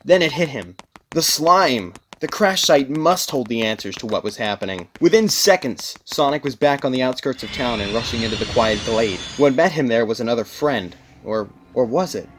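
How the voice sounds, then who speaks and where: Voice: medium pitch, expressive, moderate pace, slight background noise. A narrator reading a scripted story in a dramatic storytelling style.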